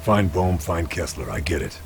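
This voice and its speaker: A man's voice: sits low, big pitch swings, moderate pace, vocal fry, slight background noise, heavily acted. A man voicing a terse, grim British sniper agent in a video game, speaking in a clipped, to-the-point way.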